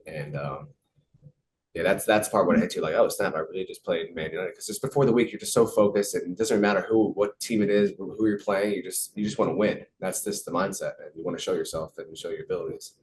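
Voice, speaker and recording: A man's voice: low voice, fairly even intonation, quick pace, a little mellow, a little hiss or hum, rough recording. A young American man, a professional soccer player, answering interview questions casually, with many fillers and repeated words. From United States.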